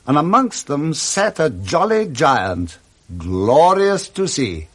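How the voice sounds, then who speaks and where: Male British narrator, 1960s radio drama style: higher-set voice, expressive, savouring literary storytelling. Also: slow pace.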